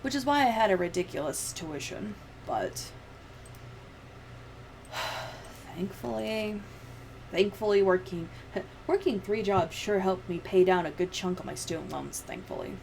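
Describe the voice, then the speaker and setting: Woman, young adult, casual stream chat, sweary and sardonic, mid pitch, faint background noise. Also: animated, a little slow, long pauses, darkish tone, poor audio. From United States. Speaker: livestreamer editing a video. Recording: clear background noise, room ambience.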